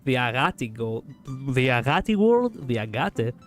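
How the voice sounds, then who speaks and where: A man with a raspy voice streaming a game, chatting casually in a rambling way, laughing often and swearing. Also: medium pitch, big pitch swings, unhurried, faint music behind. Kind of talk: casual gaming stream chat.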